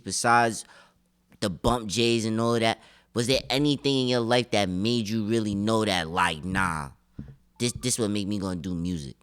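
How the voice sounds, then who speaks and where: A man's voice: medium pitch, fairly bright tone, smooth. A man hosting a casual hip-hop interview, asking loose, slangy questions and calling the guest "bro". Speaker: interview host.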